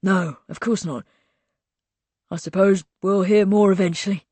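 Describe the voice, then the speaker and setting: Brief: polite middle-aged male director, read by a woman, measured and reserved, dry close sound. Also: medium pitch, steady tone, dark tone, slightly rough, no room sound.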